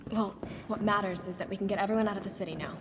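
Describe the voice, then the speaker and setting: A woman's voice: medium pitch, steady tone, very fast, background noise, natural voice. A woman playing a tough, defiant young survivor in a video game, blunt and resolute. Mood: earnest. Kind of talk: video game cutscene acting.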